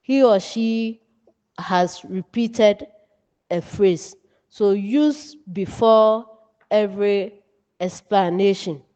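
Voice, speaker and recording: A woman with a Ghanaian accent giving an instructional lecture as a writing teacher, in deliberate, emphatic, measured phrases. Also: medium pitch, mellow, clear, resonant tone. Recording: dull, muffled, long room reverb.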